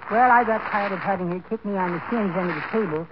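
An actor playing a meek, mild henpecked husband with a prim, giggly, timid way of talking in a 1940s radio comedy. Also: male voice, high voice, quick pace, close to an everyday voice. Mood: meek, gleeful. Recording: very noisy muffled 1940s radio recording.

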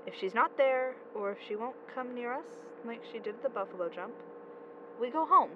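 Actress as a high-voiced, headstrong young woman. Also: expressive, moderate pace, muffled recording, noisy background, lightly acted. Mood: pleading. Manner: sarcastic, insistent. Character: headstrong, desperate young woman searching for missing friend. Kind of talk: audio drama acting.

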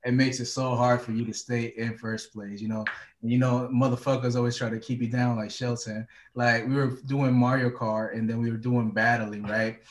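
A man's voice: mid-range voice, little pitch movement, normal speed. Swearing male guest in a roomy room. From Honduras, raised in Florida. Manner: storytelling, swears freely. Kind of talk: casual chat.

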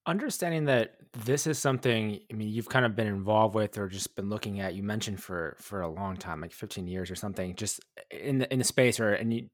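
A man's voice: fairly low pitch, brisk, hardly any pauses, dry recording. A man hosting a conversational interview podcast, asking rambling, exploratory questions. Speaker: podcast host interviewing a guest.

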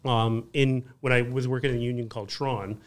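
Man, around 30, Canadian electrician, casual storyteller who acts out customer quotes, mid pitch. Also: a little fast, smooth. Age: 30s. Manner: storytelling, animated quoting of customers. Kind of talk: casual podcast chat.

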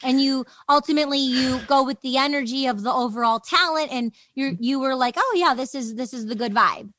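A woman's voice: high voice, a little fast, fairly bright tone. A woman hosting a casual conversational podcast interview, speaking in an effusive, encouraging way. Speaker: podcast host.

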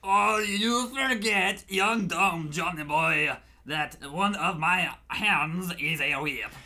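A man's voice: sits high, slow pace, sharp tone, full character voice. A man playing a pompous, vengeful French meter-man villain with theatrical delivery in a comic radio play. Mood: gloating menace. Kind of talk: comic parody radio drama.

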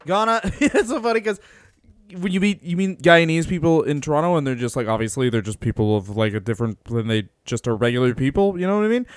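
A man's voice: a little high, fast, clear, resonant tone, no echo, clean studio sound. A man co-hosting a wrestling podcast, telling stories in a loose, joking way and laughing often. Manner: laughs often, animated storytelling. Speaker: podcast co-host. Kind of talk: casual podcast chat.

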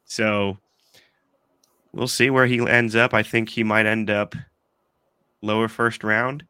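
Stuffy-nosed man, fairly low voice, casual sports pod host, rambling chat. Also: flat delivery, takes it slowly, sharp tone, smooth, dry recording, clean studio sound. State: congested from allergies. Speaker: podcast host.

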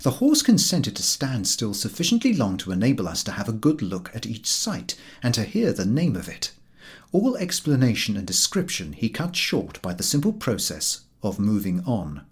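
A man narrating a humorous literary story from a script in an expressive storytelling manner. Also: fairly low pitch, moderate pace, slightly rough. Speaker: audiobook narrator.

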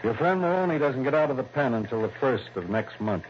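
A man's voice: medium pitch, lively intonation, brisk, highs cut off, close to an everyday voice. Man acting blustering American police lieutenant, old hissy radio. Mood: relieved. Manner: blustering, insistent, familiar. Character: gruff, suspicious, protective male police lieutenant. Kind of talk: 1960s radio drama acting.

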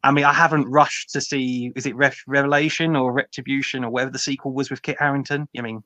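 A bright, forward-voiced guy on a panel podcast, chatting casually with no room around him. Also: mid-range voice, little pitch movement, fast talker, no echo. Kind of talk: casual chat.

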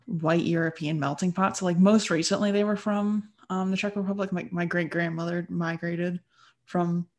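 A young American woman hosting a podcast, chatting in an animated, reflective way. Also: lowish voice, moderate pace. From United States. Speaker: podcast host. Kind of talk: casual podcast chat.

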